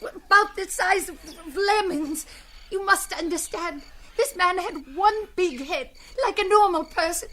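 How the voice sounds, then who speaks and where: A woman's voice: very high-pitched, measured pace, a bit bright. A woman playing a frightened young woman in an old-time radio adventure, breathless, distraught and melodramatic. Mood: horrified, shaken. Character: frightened young woman searching a jungle for her missing father. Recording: vintage radio recording with faint noise.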